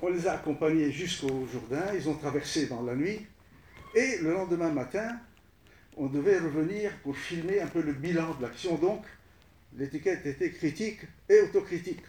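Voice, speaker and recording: A man whose first language is Arabic, recounting his film work to an audience in a halting way, repeating words as he restarts. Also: fairly high pitch, a little mellow, far from the mic, a little hiss or hum. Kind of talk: spoken recollection to an audience. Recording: echoey room, voice from off-mic.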